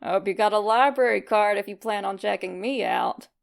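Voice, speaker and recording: A woman's voice: mid-range voice, normal speed, creaky, close to an everyday voice. A woman voicing a cocky, sarcastic, flirtatious male skeleton monster with a swaggering, teasing delivery in a story reading. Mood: smug.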